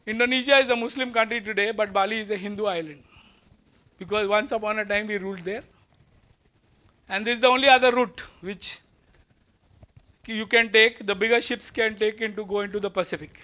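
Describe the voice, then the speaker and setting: A man with an Indian English accent lectures a packed auditorium through a lapel mic. His voice sits unusually high and rings bright. He keeps the talk conversational, firing rhetorical questions at his students. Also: fairly even intonation, a little fast, long pauses. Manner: walks the stage gesturing while speaking. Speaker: guest lecturer. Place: large auditorium with seated students.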